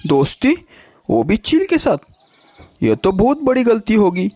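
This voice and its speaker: Man playing a wary, disbelieving cartoon crow, animated. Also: sits high, unhurried, no room sound, voice pushed up, full character voice. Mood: alarmed, disapproving. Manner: disbelieving, warning. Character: wary, blunt male crow friend. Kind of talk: children's cartoon story acting.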